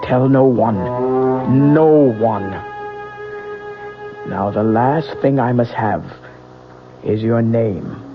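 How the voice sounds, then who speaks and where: A man playing a secretive fortune teller in an old-time radio drama, speaking in a deliberate, cryptic and insistent manner. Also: medium pitch. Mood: cryptic, businesslike. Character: secretive fortune teller, doting parent to sick Claude. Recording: muffled vintage radio recording, music behind.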